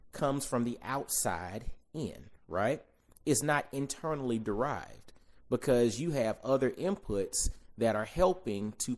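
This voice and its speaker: A man in his forties from Georgia, hosting a solo podcast in a reflective, conversational way. He repeats key phrases and slows down to stress them. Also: mid-range voice, darkish tone. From Augusta, Georgia, US. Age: 40s. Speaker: podcast host.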